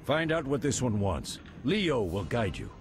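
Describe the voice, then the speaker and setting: A man's voice: mid-range voice, measured pace, music in the background. An actor playing a firm, fatherly old warrior mentor in a fantasy video game, speaking with authority. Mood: fatherly, instructing. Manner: paternal, authoritative, expressive.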